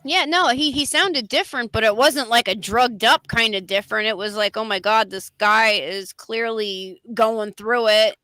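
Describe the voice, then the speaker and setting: A woman's voice: fairly high pitch, normal speed, few pauses, sharp tone, no room sound. A woman in casual panel chat, talking bluntly and emphatically, slightly nasal from a cold coming on. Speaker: panelist on a livestream open panel.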